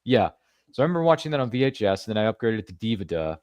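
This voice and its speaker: Chatty low-voiced man hosting. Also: big pitch swings, very fast, darkish tone, no echo. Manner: chatty, rambling, playful asides. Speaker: podcast host. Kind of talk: casual interview chat.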